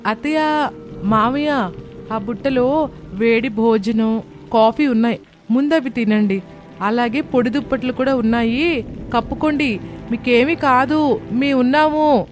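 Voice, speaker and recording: A man voicing a gentle, caring young woman, a respectful and soothing daughter-in-law in a moral story. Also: extremely high pitch, unhurried, long pauses, faint music behind. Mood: caring, reassuring. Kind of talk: dramatised Telugu moral story.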